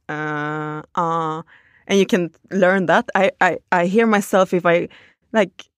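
A woman's voice: on the low side, no echo, clean studio sound. A woman, chatting casually on a podcast and thinking aloud with fillers.

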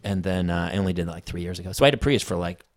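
A man's voice: sits low, rapid-fire. A man in his fifties hosting a podcast, bantering and teasing his guest in casual chat. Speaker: podcast host.

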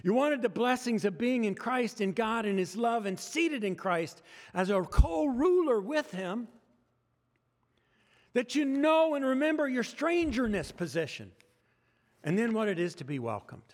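A man's voice: extremely high pitch, sing-song, measured pace, big-room sound. A man with an American accent preaching a sermon as pastor, talking conversationally and joking with the congregation. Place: church sanctuary.

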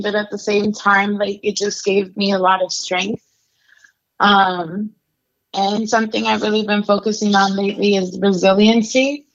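A woman's voice: mid-range voice, muffled recording. A young adult woman from Mexico, a guest telling her life story in a casual, candid interview full of fillers. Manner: candid, conversational, many fillers.

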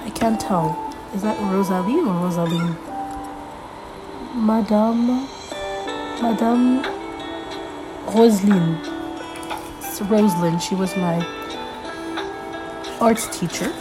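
A young woman hosting a casual solo podcast, a little congested from being sick, rambling with lots of fillers as she thinks out loud. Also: mid-range voice, slow pace, long pauses, music in the background, low-quality recording. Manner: rambling, filler-heavy, thinking aloud while flipping pages. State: congested from a recent illness. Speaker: podcast host.

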